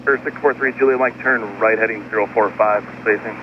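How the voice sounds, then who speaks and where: A man's voice: fairly low pitch, fairly even intonation, fairly quick, lo-fi sound. A man working as an air traffic controller, giving clipped procedural instructions over aviation radio. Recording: aviation radio with loud music behind.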